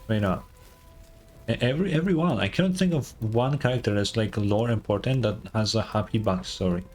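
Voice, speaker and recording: A man's voice: lowish voice, fairly quick, a little mellow. A man giving casual, slangy live reactions as a game streamer, thinking aloud and swearing freely. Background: faint game music.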